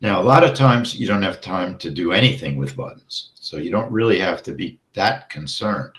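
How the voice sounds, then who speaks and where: A man teaching a live trading session, explaining the setup step by step and checking in with "okay?", his voice going hoarse as he clears his throat. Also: sits low, lively intonation, normal speed, fairly bright tone, reverberant. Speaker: trading instructor.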